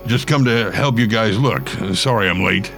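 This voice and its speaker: A man voicing a friendly, rough-spoken killer who chats in a slangy, laid-back way. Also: fairly low pitch, normal speed, a bit bright, soft background music. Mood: easygoing. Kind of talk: storytelling.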